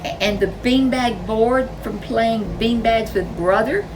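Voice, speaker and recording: A woman's voice: a little high, unhurried, distant mic, background noise, pitched above the performer's own voice. A woman voicing a frightened little bear cub girl in a storybook reading, stammering and childlike. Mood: puzzled.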